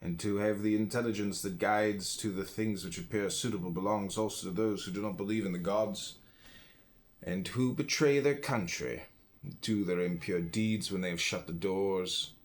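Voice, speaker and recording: A man reading an old philosophy book aloud in measured phrases, pausing between them. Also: on the low side. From United States. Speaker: audiobook reader.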